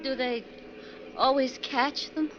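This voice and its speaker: An American actress playing an anxious, sorrowful young wife, hesitant and emotional, in 1940s radio melodrama style. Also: female voice, sits high, fairly even intonation, takes it slowly, slight background noise. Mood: anguished. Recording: muffled 1940s radio recording.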